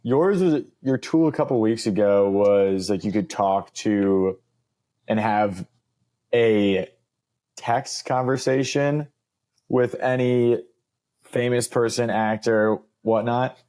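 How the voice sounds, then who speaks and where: A man's voice: fairly low pitch, takes it slowly, mellow. A young man co-hosting a podcast, chatting in a loose, upbeat way and leaning on filler words. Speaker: podcast co-host. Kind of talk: casual chat.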